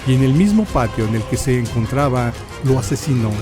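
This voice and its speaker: Casting: male narrator, Mexican accent, solemn theatrical history storytelling, medium pitch, set over clear background music. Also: animated, fairly quick. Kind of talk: dramatic scripted historical narration.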